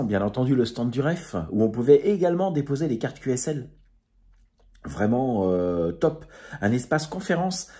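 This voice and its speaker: A man's voice: mid-range voice, dark tone. A man giving a casual, unscripted recap to his followers, hesitant and groping for words, with informal, chummy slang. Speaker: amateur radio video host.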